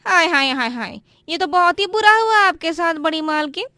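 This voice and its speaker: A cartoon housemaid in a moral tale, exclamatory and dramatic. Her voice is very high and sharply bright, recorded dry. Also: expressive, normal speed, dry recording, exaggerated voice. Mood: mock-shocked. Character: exclamatory, dramatically sympathetic female household servant. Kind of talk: animated Hindi moral-story voice acting.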